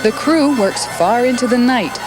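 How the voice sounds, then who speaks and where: A woman narrating a documentary in a calm, measured, scripted way. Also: a little high, low-quality recording. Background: music.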